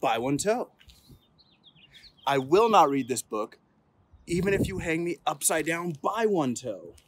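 Young male storytime reader, slightly high voice, theatrical and playful, recorded outside. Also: sing-song, a little slow, a little hiss or hum. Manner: playful, theatrical, emphatic build-ups. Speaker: police officer reading at storytime. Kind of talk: expressive children's picture-book read-aloud. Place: outdoors on an open terrace.

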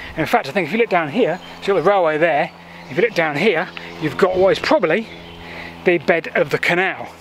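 A chatty man explains old canals as he walks along them, filling pauses with thinking-aloud fillers. His voice sits a little high for a man. Faint outdoor noise runs under the handheld recording. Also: lively intonation. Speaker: history vlogger. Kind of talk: walking history vlog. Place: outdoors by a canal path and beach. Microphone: handheld selfie camera at arm's length.

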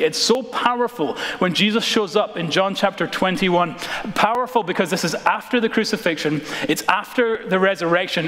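An American man in his thirties preaching a sermon as a pastor, dramatic and emphatic, with deliberate pauses and repeated phrases, in a large church. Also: high voice, fairly bright tone. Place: large church sanctuary, indoors, preaching from the stage. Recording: reverberant church hall.

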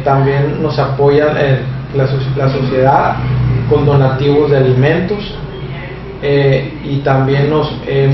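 A man explains his work in a hesitant, halting way, full of fillers and restarts. His delivery stays flat and even. He sounds far from the camera mic in a small echoey office, with dull audio and steady background noise. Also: mid-range voice. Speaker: shelter administrator. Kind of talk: casual interview explanation. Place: small office with a computer, indoors.

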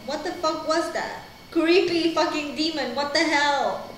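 A woman in her twenties with a fairly high voice speaks slowly and casually as a reaction host. She is blunt and sweary, repeating words for emphasis. The room is echoey, with noticeable noise. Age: 20s. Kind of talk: casual spoken reactions while watching a show. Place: indoor room, plain wall. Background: show dialogue playing.

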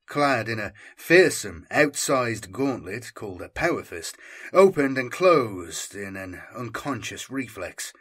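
Dramatic guy reading a grim sci-fi audiobook, slow, husky, ominous delivery. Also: mid-range voice, fairly bright tone. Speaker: audiobook narrator. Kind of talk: dramatic scripted narration of dark science fiction.

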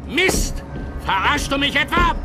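Game villain: greedy, blustering boss, high voice, wildly swinging delivery. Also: male voice, animated, measured pace. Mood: furious. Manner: blustering, swearing, swinging from shouting to menace. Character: volatile foul-mouthed greedy male villain boss. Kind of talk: dramatic video game cutscene acting. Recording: loud music under the voice.

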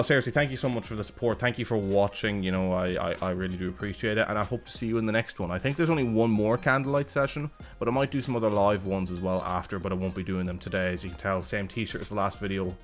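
A man hosting a music reaction video, talking casually and critically, rambling and breaking off mid-sentence. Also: deep voice. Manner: rambling, self-interrupting, critical.

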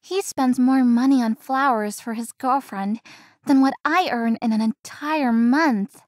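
A woman's voice: a little high, unhurried, darkish tone, dry recording, close to an everyday voice. A woman voicing a polite, hard-working young florist in a visual novel game. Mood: wistful, subdued.

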